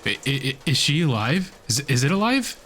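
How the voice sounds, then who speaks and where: An excitable sidekick played by a man speaks in a mid-range male voice, jumpy and incredulous. He swears freely in a noir radio drama style, with faint noise on the recording. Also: medium pitch, moderate pace. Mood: astonished.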